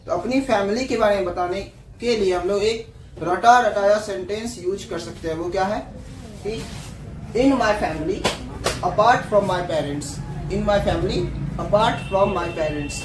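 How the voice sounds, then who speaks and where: A teacher with a Bihari accent giving a classroom lesson, who keeps repeating each point back to the class as a question. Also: medium pitch. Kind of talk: classroom English lesson in Hindi. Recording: echoey room, far from mic, noisy.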